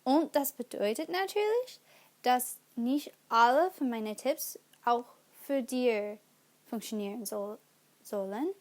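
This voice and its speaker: A young woman whose first language is English, speaking German with an English accent as she hosts her own study-tips channel, halting often to correct herself. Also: a little high, a little mellow. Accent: English-speaking learner of German. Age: young adult. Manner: slow and halting, with pauses and self-corrections. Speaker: student channel host. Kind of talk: casual study-tips vlog.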